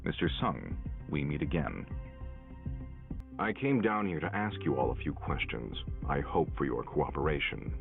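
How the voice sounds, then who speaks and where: An actor playing a stern, calm investigator who speaks in a formal, measured, probing way. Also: male voice, a bass voice, gravelly, exaggerated voice. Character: stern, calm male investigator questioning hunters. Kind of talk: dramatic game voice acting. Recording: thin phone-like sound, music behind.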